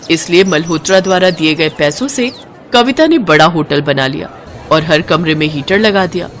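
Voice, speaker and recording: A man narrating a cartoon moral story in an expressive, melodramatic storytelling manner. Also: fairly high pitch.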